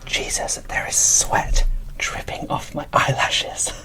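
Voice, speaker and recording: A woman whispering casual, gushing ASMR commentary as she presents an unboxing, in a breathy, informal way. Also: takes it slowly.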